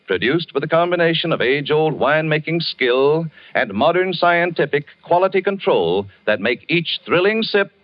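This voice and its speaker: Lively, persuasive radio ad man. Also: a little high. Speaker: commercial announcer. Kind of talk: old radio ad reading. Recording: muffled old radio broadcast.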